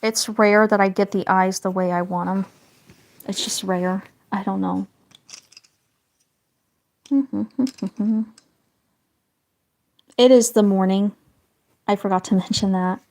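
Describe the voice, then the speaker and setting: A woman hosting a relaxed live stream, chatting away to her viewers while she draws. She talks in a meandering way and drifts into soft, quiet asides. Her voice sits at an ordinary middle pitch. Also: slow pace, pauses often. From northern United States. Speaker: livestream host drawing and chatting with viewers. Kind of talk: casual livestream chat.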